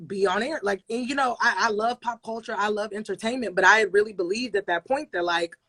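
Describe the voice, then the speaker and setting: A young adult woman, a radio personality, talking in a relaxed interview and telling her story with emphasis, often repeating phrases for effect. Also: medium pitch, brisk, slightly rough. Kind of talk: casual interview conversation.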